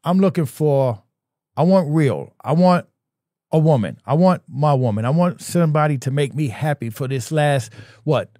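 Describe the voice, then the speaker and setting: An older man in his sixties, talking earnestly in casual podcast chat. His voice sits a bit high, and he repeats words as he works toward his point. The studio sound is dry and close. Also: lively intonation, normal speed, warm tone, no echo. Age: 60s. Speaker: podcast guest.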